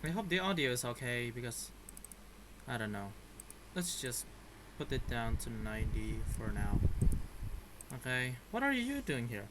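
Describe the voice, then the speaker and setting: A man's voice: medium pitch, sing-song, a little slow, long pauses. A man giving casual, rambling gameplay commentary in an informal manner. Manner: informal, rambling, mild swearing. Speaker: gaming video creator. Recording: clear background noise, clicks, game audio.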